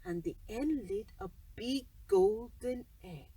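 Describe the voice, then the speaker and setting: A woman narrating a children's bedtime story deliberately, pausing between short phrases, recorded a little away from the microphone. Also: mid-range voice, animated, dark tone, slightly rough, recorded from a distance.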